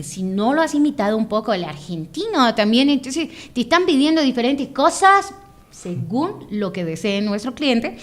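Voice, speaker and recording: A woman from Bogotá with a Bogotá Colombian accent, a voice actress explaining her craft in an interview and demonstrating voices as she talks. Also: fairly high pitch. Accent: Bogotá Colombian Spanish. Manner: expressive, demonstrates voices mid-sentence.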